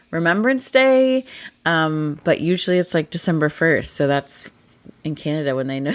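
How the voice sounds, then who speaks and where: A woman co-hosting a podcast in casual chat, husky in her morning voice, chatty and laughing often. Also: low voice, dry recording. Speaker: podcast co-host.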